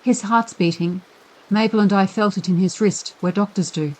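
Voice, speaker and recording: A woman's voice: mid-range voice, normal speed, lightly acted, poor audio. A woman voicing a worried young girl who speaks earnestly and reassuringly. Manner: reassuring but tearful.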